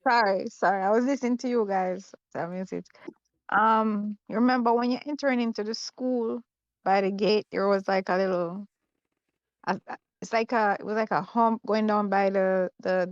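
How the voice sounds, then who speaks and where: A woman with a Jamaican accent, halting and searching for words while she recalls a school memory. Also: mid-range voice, steady tone, dry recording, highs cut off. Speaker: guest, former student. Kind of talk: casual storytelling on a video call.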